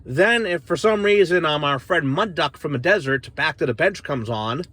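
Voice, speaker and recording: A man's voice: on the high side, lively intonation, moderate pace, sharp tone, slight background noise. A middle-aged American man giving a casual, off-the-cuff gear demonstration in a plain-spoken, rambling, opinionated way. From northern United States. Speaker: CB radio enthusiast reviewing gear. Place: inside a parked car.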